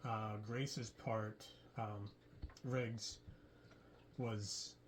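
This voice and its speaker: A man who created an audio drama, talking casually with his cast over a video call, halting with fillers and restarts. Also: on the low side, fairly even intonation, a little hiss or hum. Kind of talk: casual group interview.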